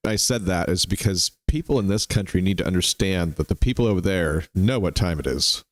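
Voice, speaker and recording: A man co-hosting a talk show, laid-back and quick to chuckle. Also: deep voice. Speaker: co-host.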